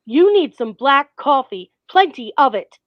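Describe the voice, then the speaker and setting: An actress playing a brisk, flustered woman in charge of a parade in a radio play. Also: sits high, very animated, dull audio. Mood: flustered, bossy. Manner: bossy, flustered, pleading. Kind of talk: 1940s-style radio play acting.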